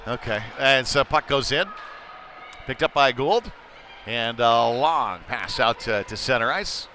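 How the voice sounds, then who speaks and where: A man's voice: medium pitch, measured pace, a little hiss or hum. A man giving informal, conversational play-by-play commentary on an amateur hockey game. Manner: conversational and informal, excited when play heats up. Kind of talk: live amateur sports commentary.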